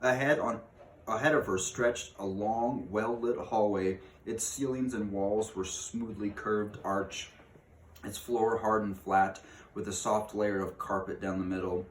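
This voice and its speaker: A man with a fairly low voice reads a children's novel as narrator. He occasionally stumbles and rereads. The room is echoey and he sounds a bit away from the mic. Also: steady tone, measured pace, distant mic, a little hiss or hum. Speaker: read-aloud narrator. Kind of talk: reading a children's novel aloud.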